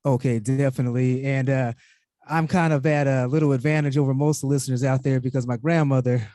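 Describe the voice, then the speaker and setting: Man, music show host, mellow relaxed tone, casual, measured with pauses, dry recording. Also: medium pitch, dry recording. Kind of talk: casual interview chat.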